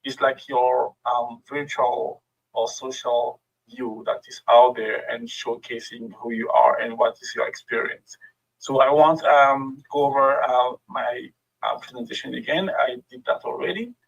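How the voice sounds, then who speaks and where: A man's voice: mid-range voice, fairly even intonation, measured pace, gravelly, highs cut off. A middle-aged man with a French accent and French as his first language, giving a friendly workshop presentation over a video call with many fillers. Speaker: guest speaker.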